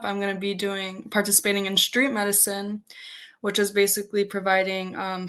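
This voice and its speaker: A woman in her twenties, a native Polish speaker, answering interview questions as a student in a halting, conversational way with frequent filler "like". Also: medium pitch, a bit bright. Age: 20s. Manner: hesitant, peppered with "like". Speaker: interview guest, first-year medical student.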